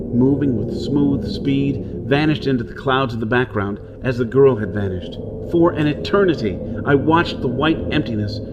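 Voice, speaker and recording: A man acts out a desperate Martian outlaw in a pulp radio drama. He tells his story in the first person with theatrical intensity, his voice at a middling pitch. Dramatic music plays strongly behind him. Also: muffled recording, natural voice. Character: desperate outlaw hero on Mars recounting his ordeal. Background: dramatic music and soundscape.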